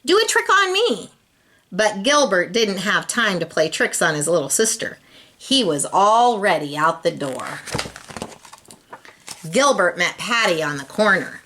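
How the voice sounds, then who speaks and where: A woman's voice: lowish voice, lively intonation, measured pace, fairly bright tone. A woman reading a children's storybook aloud, expressively and speaking directly to young listeners. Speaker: storybook reader.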